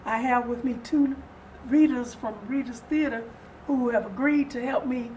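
An older woman in her seventies giving interview answers in a measured, formal, deliberate manner. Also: a little high, steady tone, a little mellow, lo-fi sound. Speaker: guest, readers theater director. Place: furnished sitting-room set indoors. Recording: distant mic, audible room noise.

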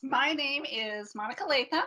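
A woman's voice: sits high, normal speed, pushed and bright. A woman from Utah with an American accent, giving an introductory presentation as a librarian. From North Salt Lake City, Utah, US. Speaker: academic librarian. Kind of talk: friendly presentation to students.

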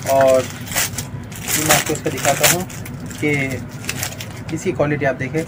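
A man from Pakistan, a wholesale shopkeeper pitching products and filling his talk with "you know" tags. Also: flat delivery, takes it slowly. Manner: fills with "jo hai na" and "theek hai na". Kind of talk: sales pitch demonstration. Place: indoors, crowded accessories shop. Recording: noisy busy shop.

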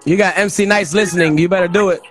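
A man in his thirties hosting a live music show, excitable and slangy, talking casually into a close studio microphone. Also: sits high, fast talker. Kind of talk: casual livestream chat. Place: small indoor room. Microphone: close studio microphone with headphones.

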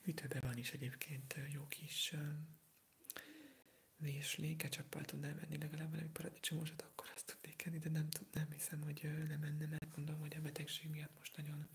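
An eighteen-year-old from Hungary whispering softly through an ASMR livestream, answering viewer comments while sick with a sore throat, rambling and hesitant. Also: medium pitch, completely flat delivery, gravelly. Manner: soft unvoiced whisper, rambling, hesitant with fillers.

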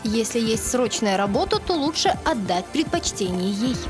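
A woman reading a scripted horoscope voice-over in a bright, sing-song manner, over music. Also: a little high, sing-song, moderate pace. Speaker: horoscope announcer. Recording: studio voice-over over loud music. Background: loud music.

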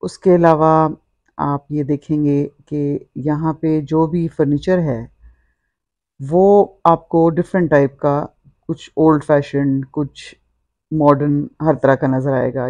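Middle-aged woman with a bright voice. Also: deep voice, fairly even intonation, unhurried, no room sound, dull audio. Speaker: vlogger. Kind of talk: narrated walk-through vlog.

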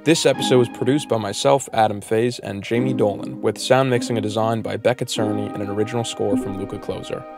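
A man's voice: lowish voice, steady tone, fast talker, hardly any pauses. A man speaking as a podcast host, reading scripted credits and announcements. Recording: music behind the voice.

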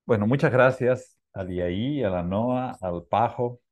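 A man's voice: medium pitch, measured pace, darkish tone, no echo. A man from Peru, a guest climate scientist opening his talk in a friendly, self-joking way on a video call.

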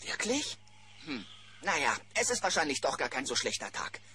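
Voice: medium pitch, big pitch swings, moderate pace, sharp tone. An eccentric male cartoon bear, with a breezy, theatrical delivery that swoops up and down. He is a laid-back artist who is easily flustered. Faint noise sits on the old cassette recording. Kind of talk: children's cartoon audio drama.

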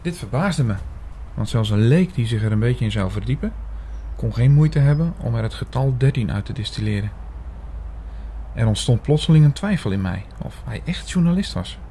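Man playing a mellow, doubtful investigator narrator. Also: medium pitch, lively intonation, normal speed, a little hiss or hum, natural voice. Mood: reflective, doubtful. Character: puzzled, suspicious male investigator narrating his story. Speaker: narrator and lead actor. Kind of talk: scripted audio drama narration.

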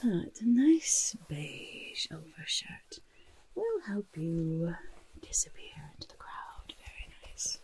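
A woman voicing a fussy, kindly goblin disguise master in a whispery, breathy, coaxing ASMR roleplay, close to the microphone. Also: medium pitch, big pitch swings, takes it slowly. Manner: coaxing, gentle, hesitant asides, repeated words. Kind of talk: soft-spoken ASMR roleplay.

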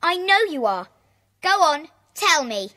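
A woman's voice: sits high, sing-song, unhurried, bright, forceful tone, heavily acted. A woman playing a nosy, needling little sister in a children's audio drama. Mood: pestering. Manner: insistent, needling.

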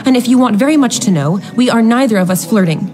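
A woman's voice: fairly high pitch, lively intonation, quick pace, natural voice. An actress playing a teasing, headstrong young American woman in a radio drama. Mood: piqued. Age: young adult. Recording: music under the dialogue.

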